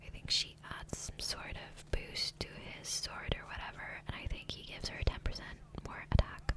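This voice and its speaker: A woman whispering softly and breathily into a close microphone in a rambling, hesitant ASMR gameplay commentary, often trailing off. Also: moderate pace. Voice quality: soft, breathy unvoiced whisper. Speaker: ASMR gaming creator. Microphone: close USB condenser microphone.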